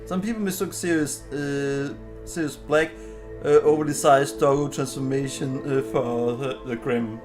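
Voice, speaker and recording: A man giving casual game commentary as a streamer, thinking aloud in a halting way. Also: mid-range voice, fairly even intonation. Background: game music.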